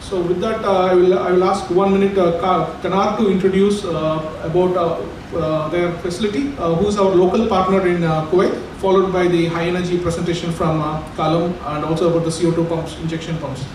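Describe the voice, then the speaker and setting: A man's voice: high-pitched, level tone, brisk, few pauses. Hesitant man presenting in an echoey hall. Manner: hesitant, many fillers. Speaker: company presenter. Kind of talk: technical presentation. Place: hotel conference hall. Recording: echoey hall from far off, noisy.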